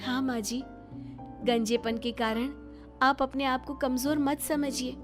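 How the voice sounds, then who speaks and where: A woman voicing a young daughter-in-law in a family story, eager and expressive. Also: sits high, unhurried, over music. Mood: gentle. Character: young scheming but caring daughter-in-law. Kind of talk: animated family story acting.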